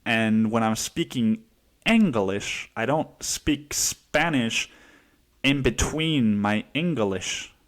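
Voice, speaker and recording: A young man from Cancun hosting a solo podcast, ranting in a profane, casual way and mimicking other people in a higher voice. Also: lively intonation, takes it slowly. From Cancun, Mexico. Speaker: podcast host.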